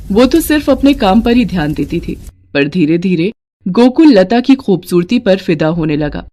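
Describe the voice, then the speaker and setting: A woman's voice: lowish voice, warm tone. Woman narrating a scripted story.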